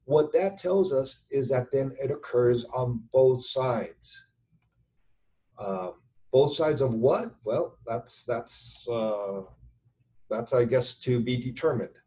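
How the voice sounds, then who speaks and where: A middle-aged man giving an informal tutorial as an instructor at his computer, halting and deliberate as he thinks aloud. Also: medium pitch, lively intonation, warm tone. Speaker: structural engineering instructor. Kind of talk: informal tutorial explanation over screen share. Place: indoors at a computer, webcam.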